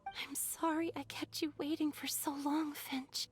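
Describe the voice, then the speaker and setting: A very high-pitched female water fairy in a game cutscene. She is gentle and wistful, with a soft, earnest way of speaking. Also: flat delivery, a little slow, faint music behind. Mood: tender. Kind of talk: video game cutscene acting.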